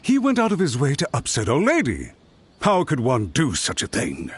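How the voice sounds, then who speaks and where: A scheming clan official, proud and quick to bristle, with a husky edge to his voice. His pitch swings widely as he talks down to others in this game cutscene. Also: wildly swooping pitch. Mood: haughty. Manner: haughty, indignant. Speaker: game character. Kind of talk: video game cutscene voice acting.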